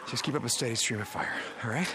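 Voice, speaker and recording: An actor playing a gruff, sardonic male drifter in a video game, terse and muttering, with a gravelly voice. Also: medium pitch, fast, very noisy, lightly acted. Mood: urgent, confident. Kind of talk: video game cutscene acting.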